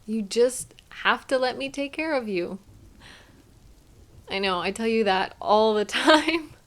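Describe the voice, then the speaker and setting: Slow, tender, higher-voiced girlfriend played by a woman. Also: expressive. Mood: gentle coaxing. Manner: soothing, affectionate, gently coaxing, softly playful. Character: tender, doting girlfriend gently comforting a partner. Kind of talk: intimate roleplay audio, one-sided conversation.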